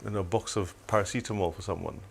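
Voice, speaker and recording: Older man from Tanzania, very low voice, reflective studio guest, halting and full of restarts. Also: steady tone, creaky, slightly rough. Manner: reflective, hesitant, many restarts. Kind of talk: telethon studio interview.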